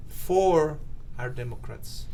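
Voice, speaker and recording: A co-host of a web radio talk show who speaks haltingly with many restarts and drives the conversation. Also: medium pitch, expressive, rough recording. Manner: halting, restarts a lot, bursts into loud laughter. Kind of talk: casual radio chat.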